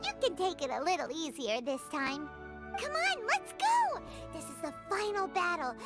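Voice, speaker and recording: A woman voicing a small, excitable, childlike fairy sidekick girl in an anime-style video game, bubbly and full of exclamations. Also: normal speed. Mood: playful, confident. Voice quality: squeaky, childlike. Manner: bubbly, exclamatory, refers to herself in third person. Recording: background music under the voice.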